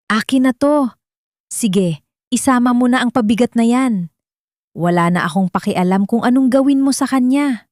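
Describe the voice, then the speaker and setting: A woman's voice: a little high, moderate pace, long pauses, darkish tone, no echo, clean studio sound, voice pushed up, lightly acted. A woman narrator voicing a greedy, callous aunt in an audio drama, dismissive and grasping.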